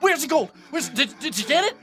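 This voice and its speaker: A man's voice: very high-pitched, big pitch swings, a little fast, sharp tone, soft background music, full character voice. A man playing a cocky, greedy bandit in an audio drama. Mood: agitated demanding. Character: cocky greedy young male bandit, dim-witted. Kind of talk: scripted audio drama acting.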